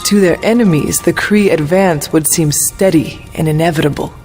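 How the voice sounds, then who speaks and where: An actor playing a serious, resolute warrior hero in a video game, speaking in a formal, terse and precise way. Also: male voice, a little high, normal speed, darkish tone, faint music behind, lightly acted.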